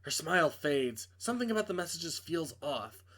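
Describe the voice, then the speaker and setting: A man with a fairly high voice narrates a visual novel aloud. He leans into dramatic storytelling, and now and then trips over a word. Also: very animated, normal speed, fairly bright tone. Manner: dramatic storytelling delivery, stumbles over words. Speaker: reader playing a visual novel.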